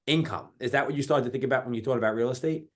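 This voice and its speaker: A man's voice: medium pitch, rapid-fire. A man in his forties from New York, hosting a podcast interview with chatty, rambling questions. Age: 40s. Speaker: podcast host. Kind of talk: casual interview.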